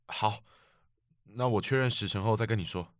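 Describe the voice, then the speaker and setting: A man's voice: on the low side, moderate pace, slight background noise, lightly acted. A man playing a cautious, weary middle-aged coworker who cautions and placates. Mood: cautioning. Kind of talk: radio drama acting.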